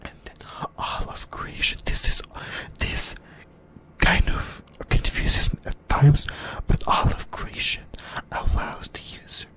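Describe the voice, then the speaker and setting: Someone whispering in a breathy, unvoiced voice, giving an informal ASMR-style fan discussion of anime with some mild swearing. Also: takes it slowly. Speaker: YouTuber, host of an anime fan channel.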